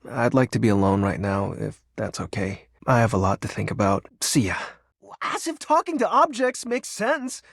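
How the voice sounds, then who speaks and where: An actor voicing a lonely, brooding personification of darkness for a video game, theatrical and grandiose yet awkwardly sincere. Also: male voice, on the low side, big pitch swings, normal speed, vocal fry, no echo, clean studio sound, exaggerated voice. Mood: lonely, apologetic, then indignant, scornful. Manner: theatrical grandiose villain speech slipping into awkward, wistful sincerity. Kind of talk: dramatic video game character acting.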